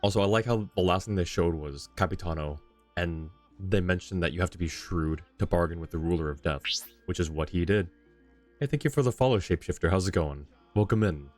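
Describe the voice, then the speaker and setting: A man with a very low voice, commentating a game stream. He reacts in a relaxed, chatty way and greets his viewers. Game music hums softly behind him. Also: fairly quick. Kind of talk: casual live-stream commentary.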